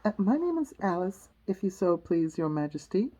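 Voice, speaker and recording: A woman's voice: fairly high pitch, lively intonation, measured pace, dark tone, slightly rough, voice pushed up, rough recording. A woman voicing a young storybook girl who is polite but bold and decided.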